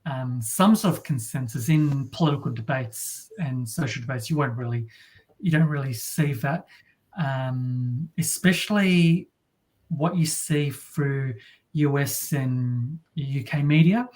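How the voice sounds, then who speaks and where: A woman from Australia with a deep voice, talking as an interview guest in a wry, halting way with many restarts. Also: a little mellow. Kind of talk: casual interview talk.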